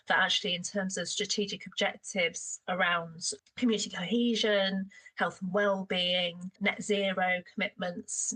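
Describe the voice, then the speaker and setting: A woman's voice: fairly low pitch, pushed and bright, poor audio. A woman, a food partnership coordinator, explaining her work in an interview, thoughtful with fillers. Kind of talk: interview answer.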